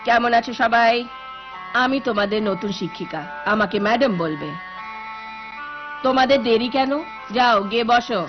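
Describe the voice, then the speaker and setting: A woman's voice: fairly high pitch, normal speed, pauses often, natural voice. A woman playing a bossy, imperious, impatient new schoolteacher in a cartoon. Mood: haughty. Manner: scolding, imperious. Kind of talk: cartoon voice acting. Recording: dull lo-fi cartoon audio with music.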